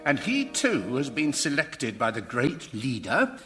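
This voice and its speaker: A decent, reasonable male foil in a radio comedy. His mid-pitched voice is lively in intonation, measured and gently pointed, with faint music behind. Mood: sympathetic. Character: reasonable, compassionate human man challenging the Devil. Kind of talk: radio sitcom acting.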